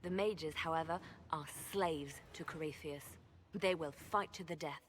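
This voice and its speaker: A woman's voice: deep voice, normal speed, slight background noise, natural voice. An actress voicing a cool female spymaster who speaks in a matter-of-fact way.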